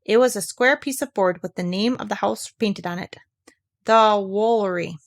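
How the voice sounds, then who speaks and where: A woman's voice: medium pitch, brisk, creaky. A woman reading a children's story aloud as scripted narration. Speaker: storybook reader.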